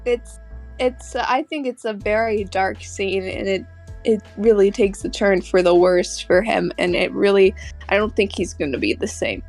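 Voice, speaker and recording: A woman's voice: medium pitch, moderate pace, a little mellow, faint music behind, poor audio. A woman chatting casually on a fan podcast panel in a giggly, hesitant way.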